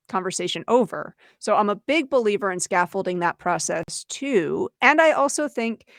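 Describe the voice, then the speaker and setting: A woman's voice: mid-range voice, no echo, clean studio sound. A woman co-hosting an educators' webinar, talking conversationally in an explanatory way, voicing example lines and checking in with the listener. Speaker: podcast co-host and educator. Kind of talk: conversational webinar talk for teachers.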